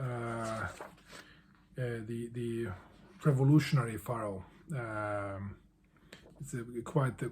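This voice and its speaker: Mellow, halting man. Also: medium pitch, a little hiss or hum. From Bosnia. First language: Bosnian. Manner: halting, with frequent restarts and repeated words. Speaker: book vlogger. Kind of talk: casual answers to a book tag.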